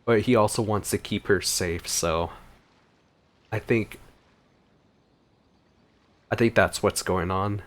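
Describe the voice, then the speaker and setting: A man's voice: lowish voice, slow pace, long pauses. A man giving casual, exclamatory gameplay commentary, informally thinking aloud as he plays. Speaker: gaming video creator.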